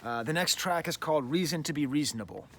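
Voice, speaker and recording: A man's voice: fairly high pitch, animated, quick pace, slight background noise. A middle-aged man hosting a casual album review, talking in a rambling, chatty way full of "like" and "you know", outdoors with birds nearby. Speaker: music reviewer, show host.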